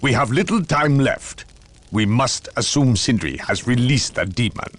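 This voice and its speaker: A man playing a grave, steadfast inquisitor in a video game, speaking in a measured, formal and earnest manner. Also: medium pitch, expressive. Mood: urgent, grave. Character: grave, steadfast inquisitor who judges without doubt. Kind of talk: dramatic video game cutscene acting. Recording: loud score underneath.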